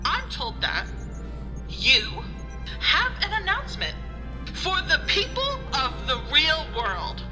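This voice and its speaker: A woman plays a synthetic female interviewer in an audio drama, speaking very slowly and haltingly. Her high, stilted voice swoops wildly up and down over music. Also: wildly swooping pitch, exaggerated voice.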